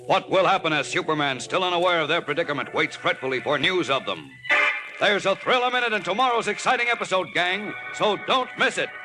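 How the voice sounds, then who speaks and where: A man's voice: high voice, animated, a little fast, over loud music, low-quality recording. A man narrating a 1940s radio adventure serial as announcer, in an emphatic, melodramatic manner.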